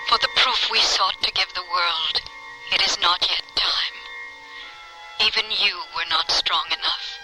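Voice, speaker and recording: An actress playing the tender, yearning spirit of a departed wife in an old-time radio drama, with music behind. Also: female voice, fairly low pitch, close to an everyday voice, low-quality recording. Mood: gentle reproach. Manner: slow, ethereal, yearning.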